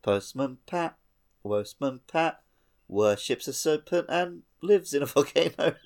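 A man's voice: on the high side, animated, unhurried, darkish tone. A man co-hosting a gaming podcast, chatting casually and going off on tangents. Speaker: podcast co-host.

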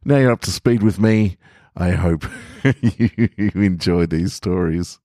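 A man's voice: deep voice, slow pace, warm tone, no room sound, studio quality. An Australian man hosting and reading short stories on a podcast, his voice affected by a lingering head cold. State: getting over a head cold. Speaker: podcast host and story reader. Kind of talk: scripted story narration with casual introduction.

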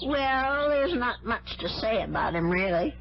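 A high, swooping older landlady from a vintage radio play. She is kindly and fussing, anxious yet stubborn. The broadcast sound is dull, with music behind her. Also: very animated, measured pace, gravelly, exaggerated voice. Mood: gentle. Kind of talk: old-time radio drama acting.

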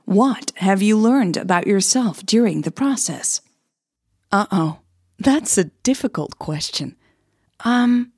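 Slow-paced, husky woman storyteller. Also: fairly low pitch, a little mellow, no echo, studio quality. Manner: playful, self-deprecating, informal. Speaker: podcaster and storyteller, host. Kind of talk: scripted story narration and casual chatty podcast hosting.

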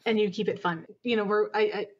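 A dull, slightly lo-fi recording of a woman with a mid-range voice. She speaks casually as an interview guest, building her answers in short, halting phrases. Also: medium pitch, steady tone. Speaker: interview guest, audio drama director.

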